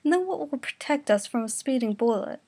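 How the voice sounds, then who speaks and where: A woman's voice: high-pitched, expressive, brisk, mellow, husky, no echo, heavily acted. A woman voicing an earnest, scatterbrained anime character in a fan story, speaking emphatically. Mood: urgent.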